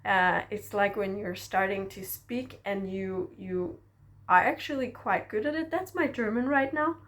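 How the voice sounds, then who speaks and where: Slow, encouraging woman. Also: mid-range voice, fairly bright tone. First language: Danish. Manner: reflective and encouraging, often checks in with a questioning "yeah?". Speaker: self-development vlogger. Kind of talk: personal teaching monologue to camera.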